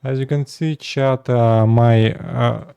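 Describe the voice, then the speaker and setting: A 36-year-old man from Russia with a Russian accent, speaking halting second-language English as he talks casually to his viewers. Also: medium pitch, steady tone, vocal fry, mellow, clear, resonant tone, no echo, muffled recording. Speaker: vlogger. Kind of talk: casual personal talk to camera.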